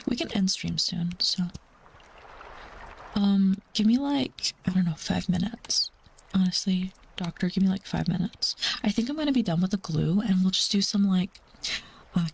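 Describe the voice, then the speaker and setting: Girl streamer just chatting w her viewers, rambly n casual, normal pitch, goes soft and whispery sometimes. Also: creaky, a little hiss or hum. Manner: chatty, rambling, calls herself Mama. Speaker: livestreamer. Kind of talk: casual livestream chat with viewers.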